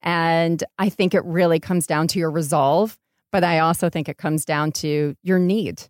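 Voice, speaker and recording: A woman's voice: sits low, fairly even intonation, normal speed, dry recording, clean studio sound. A woman from New York talking candidly as a startup founder being interviewed, telling things emphatically and acting out little quotes. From New York, United States.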